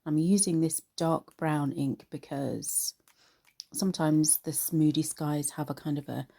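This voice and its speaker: A woman talks through a painting tutorial in a relaxed, informal way. Her voice is low and soft-toned, with a gravelly creak and a slight croak left by a cold. She takes her time as the host of the lesson. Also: unhurried.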